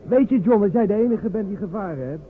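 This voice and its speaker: An actor playing a blunt, teasing soldier who is uneasy about the water, in a radio play. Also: male voice, sits high, animated, quick pace, slight background noise. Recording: narrow phone-like old radio recording.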